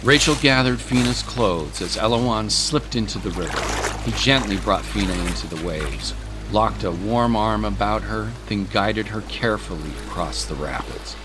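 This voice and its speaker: A man's voice: fairly low pitch, normal speed. A man narrating a dramatic scripted story. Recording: music and rain sounds behind.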